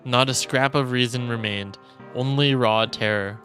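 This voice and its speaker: Male streamer, medium pitch, dramatic visual novel narration, measured storytelling, faint game music. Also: fairly even intonation. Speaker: streamer reading a game aloud.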